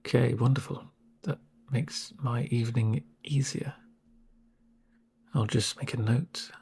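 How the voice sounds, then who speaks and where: A man playing a calm, reassuring medic with dry humour in a soft-spoken, hushed ASMR roleplay. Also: mid-range voice, creaky. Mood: dry, wry. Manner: unhurried, soothing, deadpan asides. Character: calm male corporate medic, gentle, reassuring, drily sardonic. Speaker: medic caring for a patient.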